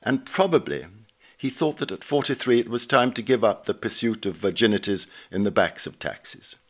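A man reading written notes aloud as a scripted narrator, dramatising the recollections as he goes. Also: fairly low pitch.

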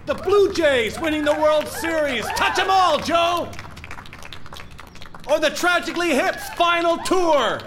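A man's voice: very high-pitched, a little slow, a crowd in the background. A man acting in a satirical audio drama as a flustered, sarcastic, self-important foundation director, stammering and wry. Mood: rousing, theatrical. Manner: stammering, wry, theatrical in speeches. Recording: faint vehicle noise behind. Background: moving car ambience.

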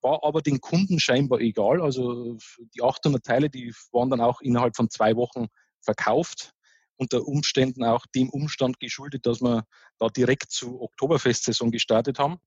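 A man with a Bavarian accent tells his founding story in a relaxed, chatty way. He is full of little fillers and restarts. His voice is slightly husky, and the recording is dry and a little dull. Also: medium pitch, brisk, no room sound. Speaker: start-up founder, guest.

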